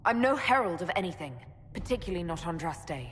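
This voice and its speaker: A female elven mage from a fantasy game. She is wry and skeptical, with a very animated pitch that swings about in a reverberant hall. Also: fairly quick, slight background noise. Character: sardonic female elven mage, reluctant chosen hero. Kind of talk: dramatic fantasy game acting.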